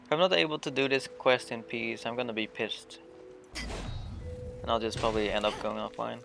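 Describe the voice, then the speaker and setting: A man's voice: medium pitch, steady tone, a little fast, gravelly. A man live-streaming a game, giving blunt, informal commentary and muttering to himself and his chat. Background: faint game music.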